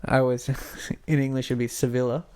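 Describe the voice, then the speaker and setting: A man's voice: mid-range voice, little pitch movement, moderate pace, darkish tone, smooth. A man co-hosting a podcast in casual banter, joking and rambling with lots of fillers. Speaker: podcast co-host. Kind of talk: casual banter with put-on accents. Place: Berlin. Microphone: podcast microphone.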